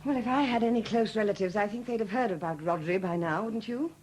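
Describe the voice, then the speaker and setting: An actress playing a wry, bitter widow with a husky, expressive voice in a 1970s radio drama. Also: female voice, mid-range voice, fast talker, slight background noise, close to an everyday voice, low-quality recording. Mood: wry, sardonic. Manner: sardonic, expressive.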